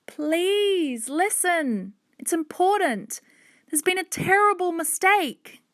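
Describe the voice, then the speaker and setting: An earnest young girl from a storybook, played by a woman narrator, speaks in a high, urgent voice. She is anxious and apologetic, yet keeps pleading her case. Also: animated, slow pace, fairly bright tone, dry recording, studio quality, exaggerated voice.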